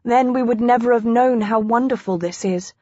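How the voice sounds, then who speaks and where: A woman's voice: a little high, normal speed, dark tone, dry recording, lightly acted. A woman voicing a spirited, emotional young wife in a historical romance audiobook, with old-fashioned phrasing. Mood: earnest. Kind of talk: audiobook character dialogue. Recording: dry, slightly dull close recording.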